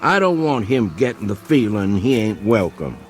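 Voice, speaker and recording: An actor playing a stubborn, plain-spoken country hunter in a vintage TV drama, with a rural Southern American accent and homespun manner. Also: male voice, fairly low pitch, very animated, moderate pace, slight background noise. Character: stubborn, plain-spoken backwoods male coon hunter, loyal to dog. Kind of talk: vintage television drama acting.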